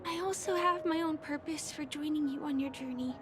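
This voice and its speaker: An actress playing a gentle, earnest young woman in a video game, soft-spoken, hesitant and sincere. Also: high-pitched, fairly quick, lightly acted. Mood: apologetic, confessing. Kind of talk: video game character dialogue. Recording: background music under the voice.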